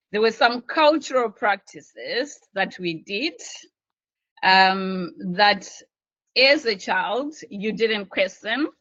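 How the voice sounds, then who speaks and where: A woman's voice: medium pitch, a bit bright, no echo. A woman from Zimbabwe, a social worker speaking earnestly on a panel and telling her own story with some restarts. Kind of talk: panel discussion on a video call. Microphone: video call. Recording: dull, dry video call audio.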